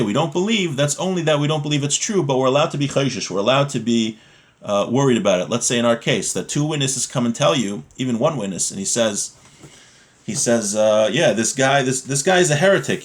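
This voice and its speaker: A man's voice: mid-range voice, lively intonation, a little fast. A man giving an informal religious lesson, reading from a text and explaining it in plain words, often asking questions and answering them himself.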